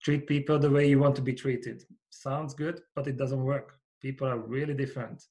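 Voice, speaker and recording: A man's voice: medium pitch, level tone, moderate pace, darkish tone. A man from Hungary with a Hungarian accent, a company founder and coach speaking earnestly as a podcast guest, letting his phrases fade at the ends. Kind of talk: podcast interview.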